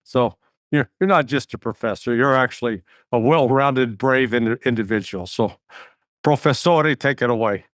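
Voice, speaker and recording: A man's voice: medium pitch, normal speed, dry recording. Older male host, rambling, dry sound. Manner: rambling, stumbling restarts. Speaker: show host. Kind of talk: casual interview hosting.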